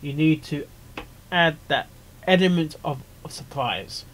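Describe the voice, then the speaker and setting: A man's voice: on the high side, a little slow, fairly bright tone, a little hiss or hum. A man giving casual, off-the-cuff commentary, hesitant and rambling, often repeating his phrases. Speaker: reaction video host, amateur voice actor.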